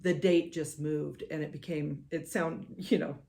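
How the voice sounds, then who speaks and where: A middle-aged woman co-hosting a podcast in casual chat, with a soothing, measured way of talking. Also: deep voice, fairly even intonation, creaky, dark tone. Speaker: podcast co-host.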